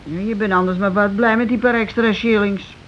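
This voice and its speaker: An actress in a Dutch radio play playing a middle-aged, put-upon housewife, plaintive and passive yet stubbornly answering back. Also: female voice, mid-range voice, steady tone, fairly quick, close to an everyday voice. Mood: defensive. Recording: muffled, hissy old radio recording.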